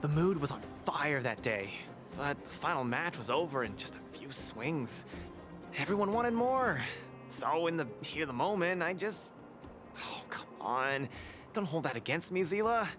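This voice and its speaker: An actor playing a cheerful, boastful young fight champion in a video game, talking in an eager, playful way. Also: male voice, on the high side, expressive, unhurried. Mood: coaxing. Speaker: cage fighter. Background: game music.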